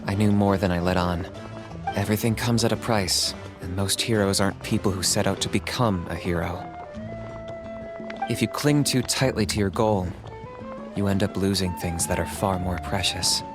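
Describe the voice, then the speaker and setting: An actor voicing a grave, enigmatic veteran hero in a fantasy game, solemn and deliberate. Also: male voice, low voice, music in the background, close to an everyday voice. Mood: solemn, reflective.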